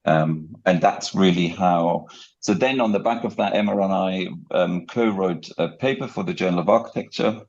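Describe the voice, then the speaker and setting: A very low-voiced middle-aged man with a German accent and a gravelly creak. He talks as an academic guest in an interview, explaining in a halting, hesitant way. The audio is a little dull and muffled. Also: darkish tone. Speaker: guest, academic researcher and book editor. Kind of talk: conversational podcast interview account.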